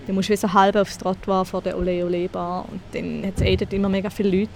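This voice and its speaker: A woman's voice: mid-range voice, level tone, fast, creaky, darkish tone, a little hiss or hum. A woman in her twenties with a St. Gallen Swiss German accent, chatting casually as a guest and laughing often. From St. Gallen, Switzerland. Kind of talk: casual conversation.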